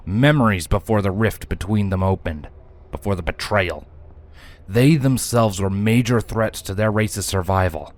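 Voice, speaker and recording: A man reading scripted dramatic story narration in a solemn, deliberate manner. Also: on the low side.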